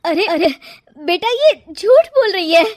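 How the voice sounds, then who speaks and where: A woman's voice: very high-pitched, big pitch swings, a little slow, dry recording, pitched above the performer's own voice, exaggerated voice. A woman voicing a bossy middle-aged mother-in-law in a cartoon moral story, scolding and indignant. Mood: hurt, wailing.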